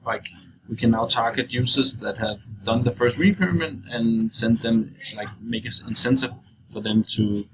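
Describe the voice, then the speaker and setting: A young man giving an informal screencast walkthrough, explaining in a halting, loose way as he goes. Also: medium pitch. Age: young adult. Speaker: software walkthrough presenter. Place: indoors, at a computer. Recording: clearly noisy screencast recording.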